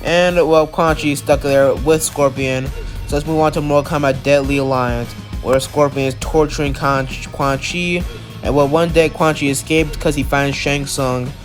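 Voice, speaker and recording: A man's voice: fairly high pitch, fairly even intonation, moderate pace, soft background music. Rambling man with a cold. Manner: rambling, lots of likes and uhs. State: has a cold. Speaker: channel host. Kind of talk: casual video-game lore recap.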